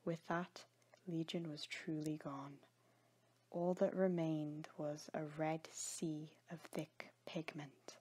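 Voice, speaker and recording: A woman narrates scripted dramatic fiction. Her voice sits fairly low and is breathy and husky, in a storytelling style. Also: little pitch movement, slow, darkish tone.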